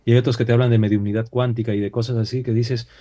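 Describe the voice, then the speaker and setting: A man's voice: mid-range voice, little pitch movement, very fast, warm tone, highs cut off. A man from Peru with a Peruvian Spanish accent, a talk-show guest speaking in a reflective way with many hesitations and rhetorical questions. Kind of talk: casual talk-show discussion.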